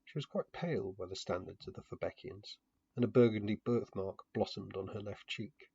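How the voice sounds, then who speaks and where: A man's voice: on the low side, moderate pace, gravelly, mellow, husky. A man narrating a story dramatically in the first person, in a muffled home recording. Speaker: narrator of a fantasy fiction podcast.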